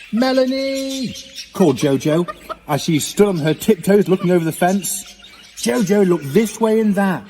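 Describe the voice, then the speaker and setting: A man reading a children's storybook aloud in an animated, expressive way for young listeners. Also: high voice. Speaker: storybook reader, farmer. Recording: long reverb, faint background noise. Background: chirping birds.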